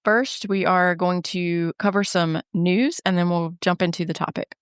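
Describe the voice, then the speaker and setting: A woman's voice: fairly low pitch, moderate pace, clean tone, no echo, studio quality. Slightly nasal woman with a head cold. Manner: runs through lists in a steady, even delivery. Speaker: podcast co-host and travel agent. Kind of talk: casual podcast chat.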